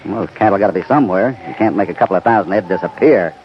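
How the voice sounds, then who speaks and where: A dull, hissy old radio broadcast carries an actor as a calm American cowboy hero. He is a man with a low voice who reasons things out in a measured, shrewd way. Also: close to an everyday voice. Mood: calm, probing. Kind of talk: old-time radio western acting. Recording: dull, noisy vintage radio recording.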